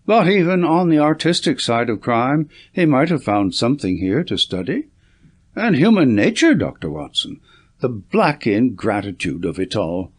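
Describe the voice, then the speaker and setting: Male reader as aggrieved old man, audiobook, self-pitying, lamenting, very animated pitch. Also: moderate pace, breathy edge. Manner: plaintive, aggrieved, rhetorical. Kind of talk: audiobook character reading.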